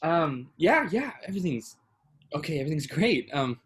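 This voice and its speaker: A man's voice: fairly high pitch, big pitch swings, normal speed, fairly bright tone. An actor in a staged play reading, playing a nervous, evasive man who speaks hesitantly. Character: nervous, evasive male aspiring food-truck owner.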